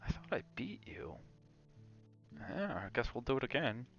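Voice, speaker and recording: A man's voice: medium pitch, big pitch swings, normal speed, vocal fry, fairly bright tone, lo-fi sound, soft background music. A man chatting casually on an ASMR gaming livestream, rambling and drifting between chat and game reactions. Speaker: game streamer.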